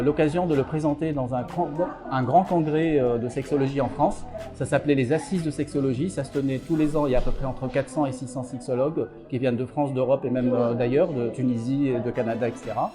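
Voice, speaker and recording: A middle-aged man from Algeria, a psychiatrist and author, answering interview questions with many fillers and restarts. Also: medium pitch.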